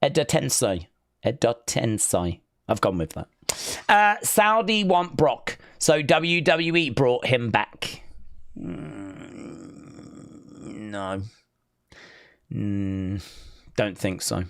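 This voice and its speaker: A man's voice: mid-range voice, lively intonation, very slow, creaky, pauses often, fairly bright tone, no echo, studio quality. A man hosting a live wrestling stream, chatting loosely and reading out chat, his voice weak as if from a cold. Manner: rambling, hesitant, reads chat aloud. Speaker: wrestling watch-along streamer.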